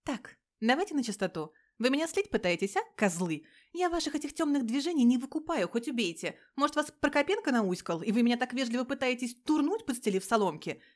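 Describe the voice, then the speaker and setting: A woman's voice: a little high, sing-song, brisk, hardly any pauses, voice pushed up. A woman voicing a brash, foul-mouthed, suspicious petite policewoman in an audio story, blunt and slangy. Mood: suspicious, confrontational.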